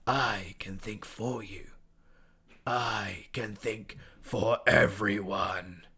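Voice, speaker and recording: A man voicing a sinister, slow, whispering rat creature that speaks inside a cat's head. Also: on the low side, steady tone, pushed and bright, exaggerated voice. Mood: menacing. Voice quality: hoarse whisper. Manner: slow, menacing, distant.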